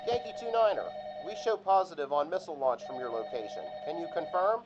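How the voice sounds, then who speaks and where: A man's voice: fairly high pitch, expressive, fast talker, lo-fi sound, over music. A man playing an urgent, clipped military launch officer calling over a filtered radio in an audio drama.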